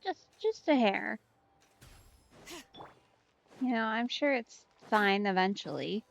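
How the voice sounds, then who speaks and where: A woman's voice: a little high, lively intonation, takes it slowly, creaky. A woman giving casual let's play commentary with wry, teasing asides. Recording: slightly dull audio, game music behind.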